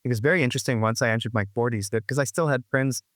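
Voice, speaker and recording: A man's voice: medium pitch, racing, fairly bright tone, no room sound, clean studio sound. Rambling man in his sixties. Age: 60s. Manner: rambling, full of restarts and "you know, like". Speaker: guest musician. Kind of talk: reflective conversational interview.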